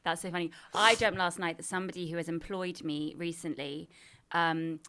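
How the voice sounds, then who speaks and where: A woman co-hosts a casual podcast. Her voice sits a little low. She talks bluntly, swears freely, and tells stories haltingly with restarts. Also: fairly even intonation, sharp tone, clean tone. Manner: blunt and swearing, halting when telling a story. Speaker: podcast co-host. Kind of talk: casual chat.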